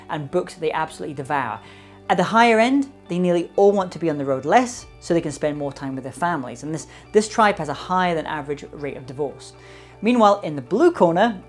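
A man in his thirties giving a polished, emphatic scripted explainer to camera in a studio, speaking into a lapel mic. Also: high-pitched. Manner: polished, emphatic delivery with gesturing hands. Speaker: business speaker and presenter.

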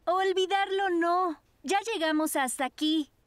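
A woman's voice: very high-pitched, a little slow, no room sound. A woman voicing a cheeky, romantic teenage girl in a cartoon, playful and dramatic. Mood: eager. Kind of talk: cartoon voice acting. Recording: music behind the voice.

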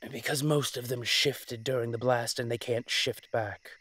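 Guy playing a tired dragon mafia boss, mid-pitched male voice, measured and in charge, roleplay audio. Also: fairly even intonation, a bit bright, lightly acted. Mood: grave, commanding. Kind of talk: dramatic roleplay monologue.

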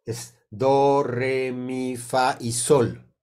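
A man in his sixties with a Mexican Spanish accent, congested from a cold, teaching informally over a video call in a halting, deliberate way with many pauses. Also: mid-range voice, flat delivery, darkish tone, clean tone. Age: 60s. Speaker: sound therapy teacher. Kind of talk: informal explanatory online talk. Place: indoor room on a video call. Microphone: computer mic on a video call.

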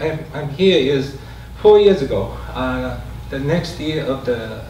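Slow, halting man with a Japanese accent. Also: medium pitch, animated, mellow, muffled recording. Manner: halting, hesitant. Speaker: guest speaker, writer and researcher. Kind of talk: informal speech to a group. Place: indoor meeting room, standing. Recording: echoey room, distant mic, clear background noise.

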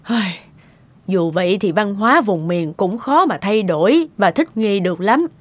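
A woman's voice: medium pitch, lively intonation, normal speed, natural voice. A woman with a southern Vietnamese accent voicing a stubborn, disapproving middle-aged mother in a family cartoon, emphatic and scolding.

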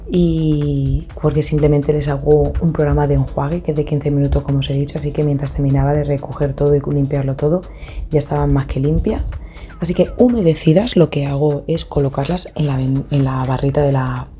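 Woman, 30s, low mellow voice, chatty cleaning-vlog voice-over, loose with restarts. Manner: chatty, detailed, with frequent restarts and fillers. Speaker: home cleaning vlogger. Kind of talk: casual voice-over narration of a clean-with-me video.

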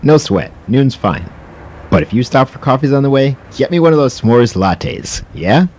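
A man's voice: medium pitch, lively intonation, normal speed, a little mellow, close to an everyday voice. An actor playing an easygoing, encouraging male police sergeant in an audio drama.

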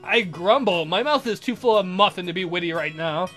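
Female let's player, wry and irreverent, animated reading of game text over background music. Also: on the low side, brisk. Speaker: let's play host. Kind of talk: reading a visual novel aloud with asides.

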